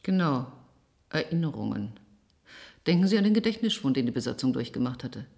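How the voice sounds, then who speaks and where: A low-pitched female doctor in a radio drama. She is composed and grave, and lays out her findings in a measured, clinical manner. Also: big pitch swings, gravelly, mellow, exaggerated voice. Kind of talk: German radio drama acting.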